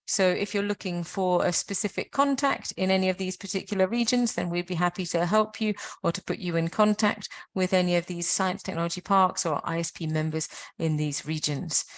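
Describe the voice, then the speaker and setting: A British woman with a slightly low voice presents slides in a webinar. She explains at length, pausing and restarting her sentences as she goes. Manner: explanatory, with frequent pauses and restarts. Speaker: presenter for a science park network.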